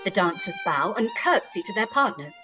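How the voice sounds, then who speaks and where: A woman's voice: medium pitch, big pitch swings, moderate pace, over music. A woman reading scripted scene narration for a period radio play. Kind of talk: scripted stage-direction narration.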